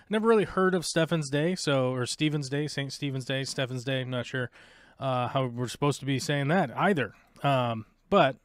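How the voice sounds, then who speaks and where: A man's voice: mid-range voice, no echo, studio quality. An American man hosting a live stream, chatting casually with lots of fillers and jokes. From United States. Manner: rambling, joking, many fillers. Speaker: live show host.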